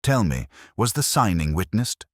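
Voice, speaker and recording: A man voicing an incisive Victorian detective in an audiobook, with formal, measured, probing questions. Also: fairly low pitch, very animated, no echo, studio quality, voice pushed up. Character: Victorian male detective, incisive, intrigued and commanding.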